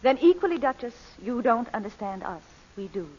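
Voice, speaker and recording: A coolly unrepentant society lady thief with a lively, swooping voice. She is genteel and teasing in old radio drama style, on a dull, lo-fi recording. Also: on the high side, a little fast, husky. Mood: mock-sweet. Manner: genteel, teasing, mock-innocent. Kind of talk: 1940s radio drama acting.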